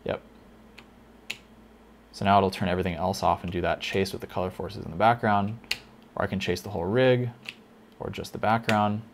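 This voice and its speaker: A man teaching a lighting console in a casual tutorial, thinking aloud with fillers, a little hoarse. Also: sits low, fairly even intonation, normal speed, pauses often, slight background noise. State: slightly hoarse, losing his voice. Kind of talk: casual screen-recorded tutorial.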